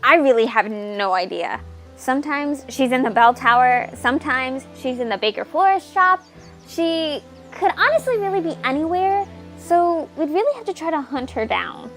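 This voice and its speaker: A woman's voice: sits high, lively intonation, moderate pace, a bit bright, faint music behind. A woman playing a friendly, helpful young townswoman in a fantasy audio drama, with a casual, chatty manner.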